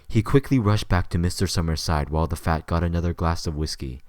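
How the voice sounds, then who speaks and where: Casting: male narrator, low register, close dry recording, scripted storytelling. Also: fast, a little mellow, no echo.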